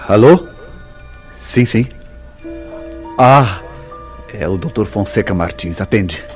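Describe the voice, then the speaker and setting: A man's voice: fairly low pitch, expressive, slow pace, soft background music. A man playing an earnest, brooding newlywed husband in a vintage Brazilian radio soap, with theatrical, formal diction. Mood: casual. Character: tender but suspicious, brooding newlywed husband Mauro.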